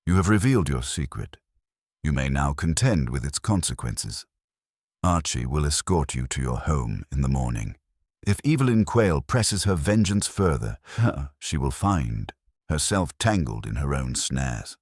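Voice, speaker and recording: A man plays an imperious genius detective in a mystery audiobook, extremely deep and husky. He hands down blunt, formal verdicts with pompous certainty and is never moved. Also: sing-song, measured pace, darkish tone, no room sound, clean studio sound, exaggerated voice. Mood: blunt, final. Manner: pompous, formal, pronouncing in maxims. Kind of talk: mystery audiobook character reading.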